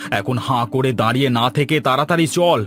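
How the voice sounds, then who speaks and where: A man's voice: mid-range voice, fast, a bit bright, soft background music, natural voice. A man voicing a worried, doting village master and father in a cartoon, dramatic and fretful. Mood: alarmed. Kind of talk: cartoon voice acting.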